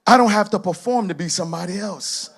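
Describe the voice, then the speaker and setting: Man, very high voice, preacher, sermon, emphatic repetitions, laughing asides. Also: brisk, breathy edge. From Haitian heritage.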